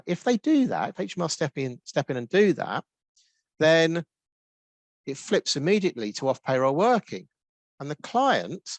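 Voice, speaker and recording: A man with a Norwich accent, answering webinar questions as a guest expert with brisk explanations full of ums. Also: mid-range voice, no room sound. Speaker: guest expert on tax rules.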